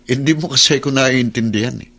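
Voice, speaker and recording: A man acting in a radio drama as a troubled, possessive husband who is obsessively in love and quick to rage, speaking intensely with a Filipino accent. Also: medium pitch, bright, forceful tone, heavily acted. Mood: glum. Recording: music under the voice.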